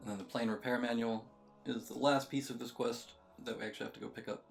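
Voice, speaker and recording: A man's voice: medium pitch, fairly even intonation, fast talker, gravelly, soft background music. A man giving a casual, matter-of-fact video game walkthrough, explaining things step by step. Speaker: game guide creator.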